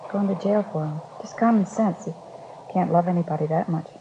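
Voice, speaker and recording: A woman's voice: fairly low pitch, brisk, over loud music, close to an everyday voice. An actress playing a distressed, lovelorn young woman in 1950s radio drama, speaking hesitantly and fretfully. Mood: hurt, defensive. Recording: dull, noisy vintage radio broadcast.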